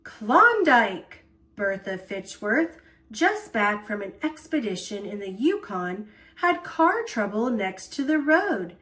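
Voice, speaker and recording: Woman, children's storyteller, expressive read-aloud, lively range, unhurried pace, playful emphasis. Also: mid-range voice.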